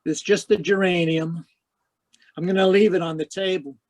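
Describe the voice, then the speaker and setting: Casting: male actor for hardboiled 1940s radio detective, lighter-pitched voice, dry wisecracks, world-wise and cynical. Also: fairly even intonation, moderate pace, a little mellow, lightly acted. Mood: offhand, cool. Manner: wry, terse, slangy delivery.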